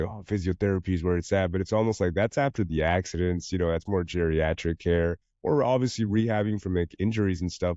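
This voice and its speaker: A man with a very low voice and a head cold hosts a casual podcast. His tone is slightly nasal and mellow. The audio is dull and lo-fi. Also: dry recording. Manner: casual, uses "bro" and "you know". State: congested with a cold. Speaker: podcast host.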